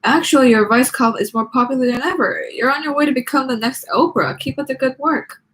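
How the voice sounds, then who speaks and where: A woman voicing a moody, high-strung male teacher from a storybook, irritable and bossy. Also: fast talker, fairly bright tone. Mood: brisk.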